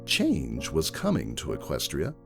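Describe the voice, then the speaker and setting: A man's voice: rumbling low, huge pitch swings, unhurried, gravelly, loud music behind the voice. A man reading a first-person story aloud as a dramatic, scripted narration. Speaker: audiobook-style reader.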